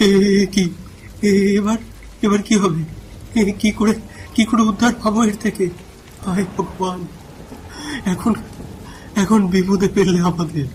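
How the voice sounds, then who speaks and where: A man acts a bewildered village fisherman in a cartoon. His voice is high and worried, with a hesitant delivery. Faint noise is heard behind him. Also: fairly even intonation, unhurried, pauses often, a little mellow, rough recording. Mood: panicked. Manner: hesitant, repeating words.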